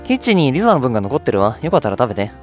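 Man doing a gentle, caring young woman. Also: medium pitch, very animated, rapid-fire, faint music behind, close to an everyday voice. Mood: kindly.

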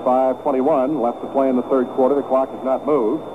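A man's voice: medium pitch, steady tone, brisk. A man doing folksy, partisan live radio play-by-play of a football game with vivid calls. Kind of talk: live sports play-by-play commentary. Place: stadium broadcast booth. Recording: noisy 1970s AM radio broadcast, narrow band. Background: loud stadium noise.

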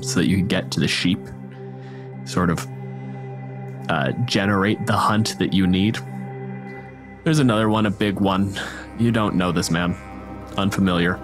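A man running a tabletop role-playing game as game master, narrating with descriptive, dramatic phrasing. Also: deep voice. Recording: music bed under the voice. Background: background music.

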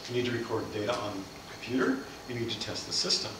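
A man's voice: lowish voice, lively intonation, fast, recorded from a distance. A middle-aged man giving a science cafe lecture, explaining ideas by asking questions and answering them. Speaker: physics lecturer. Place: seminar room with projector screen. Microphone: far camera mic. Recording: echoey room recorded from afar, noisy.

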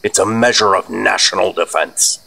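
An actor playing a senior American secret agent in a radio drama, commanding and clipped. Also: male voice, deep voice, moderate pace, vocal fry, a little hiss or hum, exaggerated voice, poor audio. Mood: firm. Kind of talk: 1940s radio drama acting. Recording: loud music behind.

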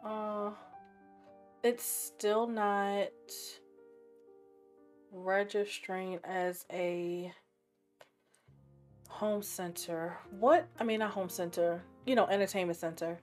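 A woman with a raspy voice and a sore, scratchy throat, chatting casually while narrating her gameplay and thinking aloud. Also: medium pitch, steady tone, takes it slowly, long pauses, faint music behind. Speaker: game streamer.

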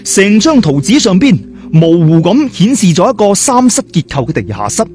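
Cantonese guy reading a story dramatically, lively highish voice, bit of music under. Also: moderate pace. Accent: Hong Kong Cantonese. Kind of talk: dramatic scripted audiobook narration.

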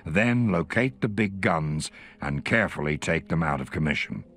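Allied briefing officer, war game. Very deep voice, lively inflection, grave and commanding with dry wit, music underneath. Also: male voice. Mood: businesslike, urgent. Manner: measured, authoritative, dryly contemptuous of the enemy. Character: male Allied intelligence officer briefing an agent, wry and grave. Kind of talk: scripted video game mission briefing.

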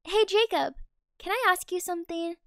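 A woman's voice: extremely high pitch, normal speed, a bit bright, no room sound, clean studio sound. A young female voice actor playing a snappy, sassy teenage schoolgirl in an amateur game movie.

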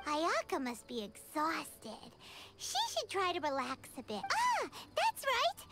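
A tiny, greedy fairy companion, piping high and swooping up and down as she chatters about herself in the third person. Video game music plays loudly underneath. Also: heavily acted. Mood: excited. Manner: bubbly and excitable, with quick swings into pouting. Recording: game audio with loud background music.

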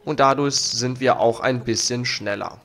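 Slow-talking young man with a cold. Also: mid-range voice, fairly bright tone. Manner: unhurried, reads game text aloud. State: has a cold. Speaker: let's play host. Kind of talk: casual gameplay commentary. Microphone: studio mic on arm. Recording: faint game music behind.

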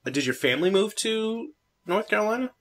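A man's voice: high voice, expressive, brisk, a bit bright. A man with a New Jersey accent hosting a casual gaming podcast, rambling through fillers and restarts. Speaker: podcast host.

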